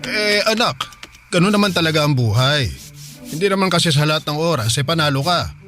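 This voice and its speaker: A man's voice: fairly high pitch, expressive, a little fast, a bit bright, faint music behind. A man acting a patient, encouraging middle-aged Filipino father in a radio drama.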